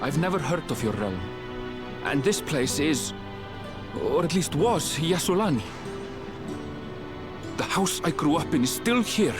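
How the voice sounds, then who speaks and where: A man's voice: on the high side, animated, measured pace, long pauses. An actor playing an earnest, troubled young man in a video game, emotive and questioning. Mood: distressed. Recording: music behind the voice.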